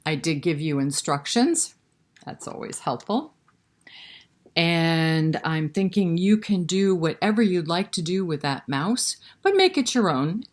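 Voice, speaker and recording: Woman, children's storytime host, lowish voice, very unhurried, talking straight to little listeners. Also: very animated. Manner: speaks directly to young children, asking questions. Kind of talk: children's picture-book read-aloud and chat.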